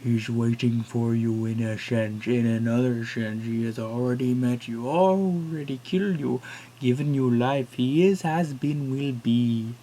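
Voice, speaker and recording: A man voicing an eerie, cryptic old man who speaks slowly and ominously. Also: medium pitch, dark tone, slight background noise, lightly acted, rough recording. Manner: slow and ominous.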